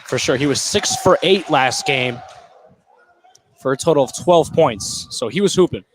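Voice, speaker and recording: A man's voice: mid-range voice, animated, moderate pace, a little hiss or hum. A man giving casual live color commentary on a basketball game.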